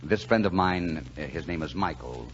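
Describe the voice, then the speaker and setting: Super deep earnest waiter, polite and deliberate, muffled noisy 40s radio play. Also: male voice, lively intonation. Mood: earnest storytelling. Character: mysterious, courteous male club waiter, quietly devout. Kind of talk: 1940s radio acting.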